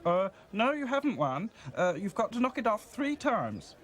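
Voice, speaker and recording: A man voicing a shifty male fairground huckster with loud, barking patter. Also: sits high, sing-song, moderate pace, pitched above the performer's own voice. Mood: flustered.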